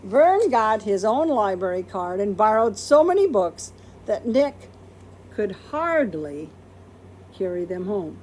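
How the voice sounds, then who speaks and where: A woman's voice: mid-range voice, very animated, slow pace, a little mellow, slight background noise. A woman reading a children's picture book aloud expressively, acting out quoted words and putting questions to listeners. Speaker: storybook reader.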